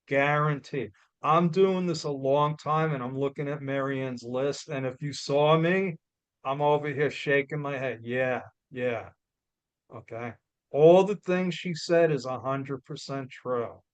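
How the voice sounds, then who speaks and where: Loud, slow-talking New York man. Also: medium pitch, clean tone, no room sound, highs cut off. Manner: loud, emphatic, blunt. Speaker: guest speaker. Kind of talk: motivational talk.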